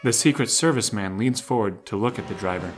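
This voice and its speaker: A man's voice: lowish voice, brisk. A man narrating a scripted audio drama in the present tense. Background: music.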